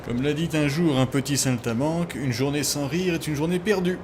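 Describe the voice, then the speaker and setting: A man playing a blasé, crude and cynical airline clerk with deadpan delivery in a comedy film dub. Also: a little high, a little fast, lightly acted. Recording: clear background noise and ambience.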